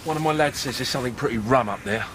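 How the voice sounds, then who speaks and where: An actor in a radio drama playing a dutiful, cautious British lieutenant who reports in a matter-of-fact way. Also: male voice, mid-range voice, rapid-fire, background noise, natural voice. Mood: businesslike.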